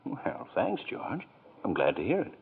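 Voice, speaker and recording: An actor playing a kind, tired middle-aged father who speaks gently, in 1940s radio acting, on a scratchy 1940s radio broadcast. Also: medium pitch, wildly swooping pitch, a little slow, gravelly, slightly rough, big-room sound, narrow, tinny audio, full character voice.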